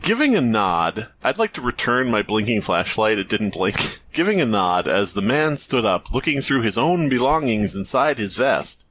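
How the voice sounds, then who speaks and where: A man's voice: medium pitch, normal speed, few pauses, slight background noise. A man co-hosting a comedy podcast, wisecracking as he reads fan fiction aloud and comments on it. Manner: animated, wisecracking asides. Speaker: podcast host.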